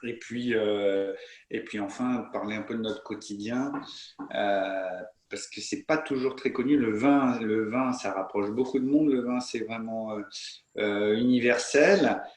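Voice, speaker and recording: A middle-aged man giving an informal presentation on a video call, halting and often repeating his words. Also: fairly low pitch. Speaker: guest speaker, winegrower. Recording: roomy, echoey video-call sound.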